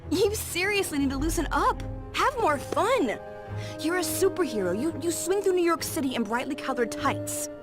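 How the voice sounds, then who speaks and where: High, lilting, teasing antihero. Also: female voice, lively intonation, normal speed. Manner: playful, teasing. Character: flirty, teasing, thrill-seeking woman antihero. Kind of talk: video game voice acting. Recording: music behind the voice.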